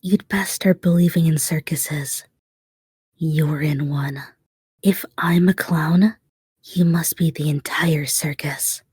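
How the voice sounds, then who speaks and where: A woman voicing a cold, mocking circus animatronic in a horror game, with a husky, whispery voice and sardonic, unhurried delivery. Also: low-pitched, steady tone, a little mellow, dry recording, studio quality, full character voice. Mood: sinister mocking.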